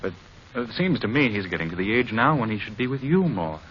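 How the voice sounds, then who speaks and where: A man's voice: lowish voice, big pitch swings, brisk, a little hiss or hum. An actor playing an earnest, doting husband and father in an old-time radio drama. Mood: exasperated, pleading. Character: earnest, doting husband and adoptive father, gentle but exasperated. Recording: muffled old radio recording, music behind.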